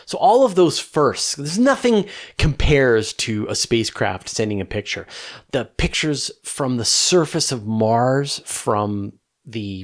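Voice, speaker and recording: A man hosting a question-and-answer show, explaining things in a casual, enthusiastic and emphatic conversational way. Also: medium pitch.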